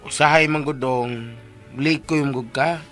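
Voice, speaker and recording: A man's voice: medium pitch, fairly even intonation, a little slow, fairly bright tone, faint music behind, close to an everyday voice. An actor playing a brash, teasing male friend in a radio drama, coaxing and needling with exclamations as he explains things. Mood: patient, coaxing.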